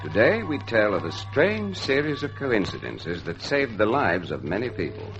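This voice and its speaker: A man presenting an old radio story series in a deliberate, theatrical announcer's delivery. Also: on the low side, huge pitch swings. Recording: muffled old radio recording under loud music.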